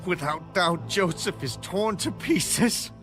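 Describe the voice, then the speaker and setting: A man's voice: high voice, animated, slow pace, fairly bright tone, soft background music, heavily acted. An actor playing an old, anguished father mourning his son in a dramatized Bible audiobook. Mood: anguished grief.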